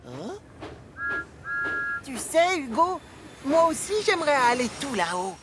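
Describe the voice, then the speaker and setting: Une femme qui double une jeune locomotive masculine amicale et raisonnable, au ton expressif, dans un dessin animé en français. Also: female voice, high voice, a little slow, noisy background. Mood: friendly, consoling. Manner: expressive, friendly. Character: cheerful young male tank engine, friendly and sensible. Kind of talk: French-dubbed children's cartoon.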